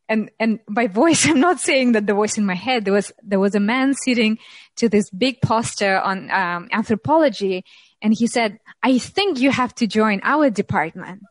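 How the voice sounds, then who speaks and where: A woman's voice: a little high, dry recording. Thoughtful woman in conversation. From Russia. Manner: thoughtful, many pauses and ums, light laughs. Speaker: interview guest, anthropologist. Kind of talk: reflective interview talk.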